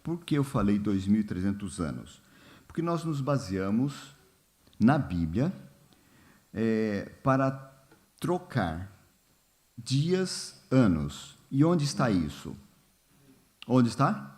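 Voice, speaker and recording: A man leading a church Bible study in a relaxed, informal way, often pausing with fillers and asking the congregation questions. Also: fairly low pitch. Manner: unhurried, many fillers and "né?", asks the congregation questions. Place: church hall.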